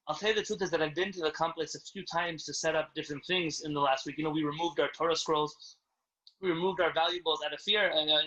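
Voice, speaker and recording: A man with a high, bright voice speaks as a rabbi taking questions from his community. He talks fast and courteously, over a video call. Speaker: rabbi leading a community address. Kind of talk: question-and-answer address to a community. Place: indoors on a Zoom video call. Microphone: video call microphone. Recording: low-quality video call audio.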